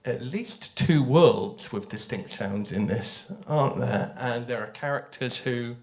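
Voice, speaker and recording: A man speaking informally on a panel as an audio drama creator, telling how the work was made in an animated way. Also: medium pitch, unhurried. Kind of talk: panel talk, informal explanation.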